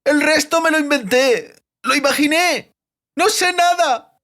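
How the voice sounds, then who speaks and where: A man's voice: very high voice, unhurried, fairly bright tone, pitched above the performer's own voice, heavily acted. A man voicing a terrified captive bard in an audiobook, breathless and whimpering as he pleads and rambles through frantic denials. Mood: panicked pleading.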